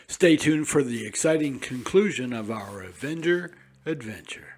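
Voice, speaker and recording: A man's voice: medium pitch, expressive, moderate pace, slightly rough. A man presenting a radio programme with scripted announcements.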